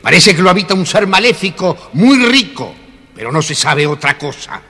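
An actor playing a mysterious gossiping villager in an audio storybook. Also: male voice, sits high, very animated, moderate pace, bright, forceful tone, husky, faint music behind, exaggerated voice. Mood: ominous. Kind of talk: audio storybook character acting. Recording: long reverb.

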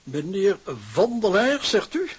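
High-voiced, husky, fussy clerk. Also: male voice, very animated, takes it slowly, heavily acted. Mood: officious. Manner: formal, bureaucratic, hesitant. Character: fussy, pedantic male bookkeeping clerk. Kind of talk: Dutch radio drama acting.

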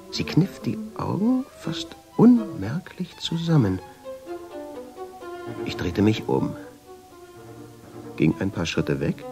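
Low raspy guy narrating a radio play as a brooding traveller, deliberate pauses, music in there. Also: heavily acted. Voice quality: low, husky voice. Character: reflective male traveller, drawn and obsessive, telling his story. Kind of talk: literary radio drama narration in first person.

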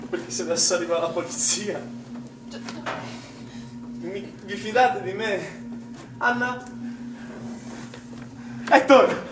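Wounded instructor, very noisy echoey recording. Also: male voice, high-pitched. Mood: hurt, pleading. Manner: insistent, repeating questions and phrases. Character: accused male swimming instructor, incredulous, wounded, hot-tempered. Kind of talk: naturalistic Italian stage drama acting. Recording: noisy, echoing, distant stage recording.

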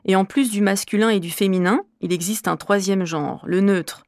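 A woman from Normandy, a French teacher, answering interview questions in a measured, clear, explanatory way. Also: medium pitch, darkish tone, dry recording, clean studio sound. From Normandy, France.

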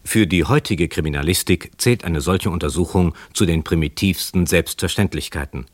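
A man narrating a scripted crime radio drama in a measured, factual way. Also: low-pitched, dry recording, clean studio sound.

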